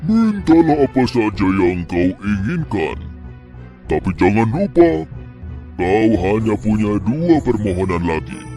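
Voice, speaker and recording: A deep, slow, imposing giant spirit. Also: male voice, big pitch swings, mellow, faint music behind. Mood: solemn warning. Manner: slow, booming, solemn. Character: huge giant spirit of a magic ring, imposing.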